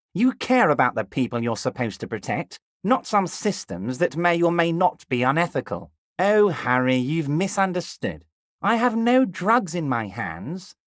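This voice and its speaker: An actor playing a heavyset, smooth-talking male union boss, honeyed and self-satisfied with a menacing charm, in game voice acting. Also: a little high, a bit bright, no echo, clean studio sound.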